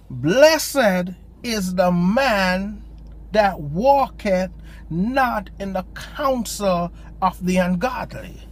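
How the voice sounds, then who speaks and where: A lay preacher with a strong Jamaican accent gives an informal devotional talk from a parked car, pausing and restarting often and lifting the voice when reciting scripture. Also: mid-range voice. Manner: halting, with frequent pauses and restarts.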